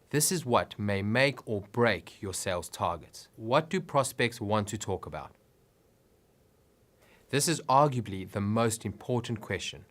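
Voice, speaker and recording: A man's voice: on the low side, fairly bright tone. A man reading scripted business advice narration in an instructive way with emphatic phrasing.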